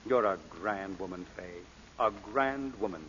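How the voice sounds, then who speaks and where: An actor playing a suave, philosophical gentleman crook with a wry, polished theatrical delivery in an old radio play. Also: sits low, a little slow, a little hiss or hum. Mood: rueful, admiring. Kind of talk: 1940s radio acting. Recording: dull old radio recording, long reverb.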